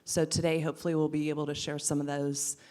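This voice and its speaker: Low, even-toned woman, earnest panel speaker. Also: fast talker. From western Pennsylvania, US. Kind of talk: panel discussion.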